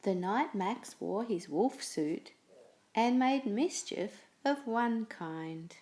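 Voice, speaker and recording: A woman slowly reading a children's picture book aloud with an expressive, storytelling delivery. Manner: slow, expressive reading with lively pitch.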